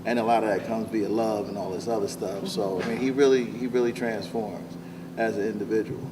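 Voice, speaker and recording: A man's voice: lowish voice, fairly even intonation, fairly quick. A man talking casually as an interviewed actor, thinking aloud in a rambling, thoughtful way. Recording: noisy room, distant microphone.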